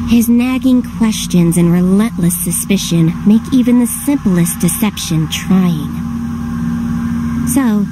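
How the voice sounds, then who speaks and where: A woman voicing a haughty, calculating fairy plotter in a video game, speaking in a formal, measured, disdainful way. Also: mid-range voice, background noise, close to an everyday voice. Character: scheming female fairy elder, cold, haughty, calculating. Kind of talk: English video game voice acting. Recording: music behind the voice. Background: game music.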